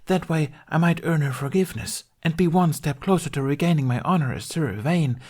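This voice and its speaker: A man's voice: high-pitched, a little slow, a little mellow, voice pushed up. A man voicing a theatrical fairy woman who plays a gallant, bombastic knight in archaic stage speech for a story. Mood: heroic declaiming.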